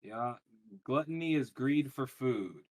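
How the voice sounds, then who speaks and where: A man hosting a live coaching call, talking casually and acting out thoughts with sudden loud bursts. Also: mid-range voice, takes it slowly, slight background noise. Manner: energetic, acts out his own thoughts in a loud excited voice.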